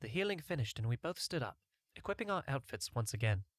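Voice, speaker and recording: A man's voice: medium pitch, very animated, fast talker, no room sound. Man narrating a story, close dry recording. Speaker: audiobook narrator. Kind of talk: first-person scripted story narration.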